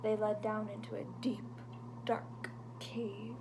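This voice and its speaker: A woman reading a picture book aloud to children, animated and dropping into whispers for suspense, with chatty asides to her young listeners. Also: mid-range voice, slow pace, noisy background.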